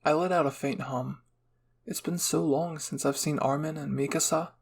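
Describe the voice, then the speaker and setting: A man reading a first-person fan fiction story as soft, measured ASMR narration. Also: fairly high pitch, fairly even intonation, gravelly, slight background noise.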